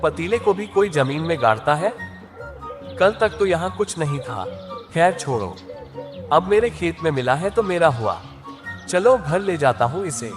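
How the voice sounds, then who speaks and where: Man voicing a lively, higher-pitched village farmer. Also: measured pace, music in the background. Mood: puzzled. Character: simple, hardworking village farmer and husband. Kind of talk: animated Hindi moral-story voice acting.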